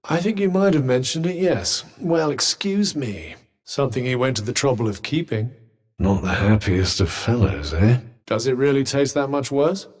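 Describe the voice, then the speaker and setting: An actor playing a wry, sardonic talking bracelet that serves as a game companion, with dry, teasing remarks. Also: male voice, mid-range voice, expressive, normal speed, darkish tone, big-room sound, natural voice. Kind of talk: video game companion voice lines.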